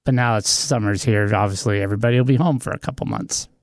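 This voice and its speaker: A man's voice: fairly low pitch, fast talker, no echo. A man co-hosting a radio chat show, telling family news in an easygoing way. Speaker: radio co-host.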